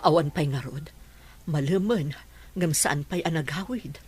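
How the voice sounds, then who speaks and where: A woman's voice: deep voice, a little slow, a little mellow, husky. A woman acting a loving, anxious middle-aged mother in an Ilocano radio drama. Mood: worried.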